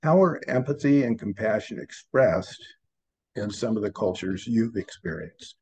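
A man's voice: on the low side, expressive, a little slow, darkish tone, husky. A middle-aged man on a video call, formally introducing a guest and asking prepared interview questions from a room at home. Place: home room with framed pictures, indoors. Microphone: computer webcam on video call.

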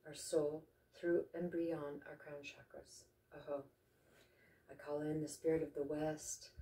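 Low, mellow woman guiding meditation from afar. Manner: slow, reverent, deliberate with pauses. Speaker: meditation guide and ceremony host. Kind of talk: guided meditation with spoken invocations. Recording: roomy echo, distant microphone.

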